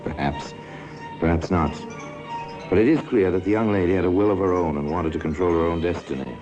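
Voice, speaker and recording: A man's voice: low-pitched, a little fast, muffled recording, lightly acted. A man narrating a 1950s radio crime drama in a ruminative, wry, insinuating manner, as a knowing storyteller. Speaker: narrator and host of a crime anthology. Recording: old radio recording with music.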